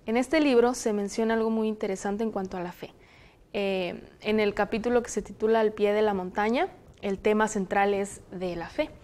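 A young woman from Zacatecas with a Mexican Spanish accent, hosting a studio discussion on a lapel mic in a TV studio, speaking in a measured, explanatory way. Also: mid-range voice, darkish tone. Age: young adult. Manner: measured, explanatory, reads passages aloud. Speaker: host. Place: television studio, indoors.

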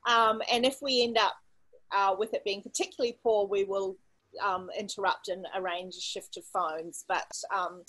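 A woman's voice: medium pitch, steady tone, moderate pace, a bit bright, low-quality recording. A woman in her forties hosting an online event, giving informal practical instructions. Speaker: host. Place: indoor room at home. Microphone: computer mic on video call.

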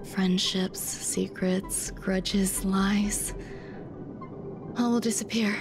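A woman voicing an aloof, soft-spoken scientist in a video game, speaking in a calm, measured, detached way. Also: mid-range voice. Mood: calm, secretive. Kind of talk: scripted video game voice acting. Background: game music.

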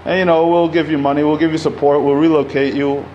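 A man in his thirties from Egypt speaks into a podium mic in a community center hall. His voice sits a little high, and he talks loosely and informally, stacking "you know" and restarts. A faint noise runs under the recording. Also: level tone, quick pace, dull audio. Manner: conversational, many fillers, gestures while speaking. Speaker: panel speaker. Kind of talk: public talk at a community event.

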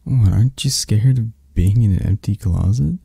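A man voicing an obsessed, flirty, possessive male kidnapper in a hushed, halting ASMR roleplay. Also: fairly low pitch, dry recording, studio quality, exaggerated voice. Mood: teasing, tender. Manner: hushed, halting, breathy-soft delivery.

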